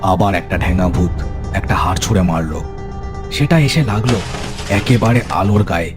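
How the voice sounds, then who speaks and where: A man's voice: low voice, normal speed. A man giving a dramatic, scripted narration of a horror story. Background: music.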